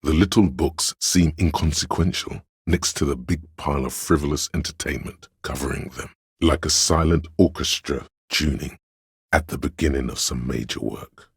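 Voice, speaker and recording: A man playing a cerebral, pretentious inner voice of artistic imagination in a game, narrating theatrically and deliberately in a gravelly, husky voice. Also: rumbling low, dry recording, clean studio sound, heavily acted. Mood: dry, literary. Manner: pompous, theatrical, deliberate diction. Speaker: game voice actor. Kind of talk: dramatic scripted game narration.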